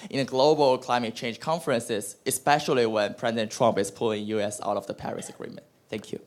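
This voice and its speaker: A young man in the audience, an undergraduate, asks a question. His voice sits at an ordinary mid pitch. He is courteous and a little unsure of his phrasing. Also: fairly even intonation, fairly quick. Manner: polite, slightly hesitant. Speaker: undergraduate student asking a question.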